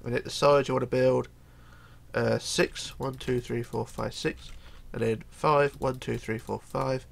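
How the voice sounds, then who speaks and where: A man's voice: medium pitch, steady tone, a little slow, gravelly. A middle-aged man with a cold talks through a casual game build tutorial at his home computer desk, chatting and counting blocks aloud, often mumbling as he goes. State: hoarse with a cold. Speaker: tutorial host.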